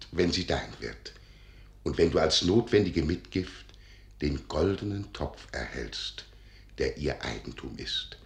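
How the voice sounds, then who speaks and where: Eccentric imposing older magician, very low voice, sweeping intonation, solemn radio drama delivery. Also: male voice, roomy sound, heavily acted. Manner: theatrical, emphatic, solemn. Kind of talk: radio play acting.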